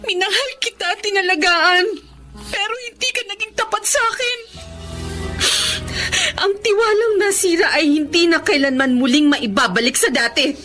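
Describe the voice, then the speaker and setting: A woman's voice: extremely high pitch, moderate pace, sharp tone, soft background music. A woman playing a betrayed but resolute wife, emotional and earnest, in a Tagalog radio drama. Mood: tearful anger.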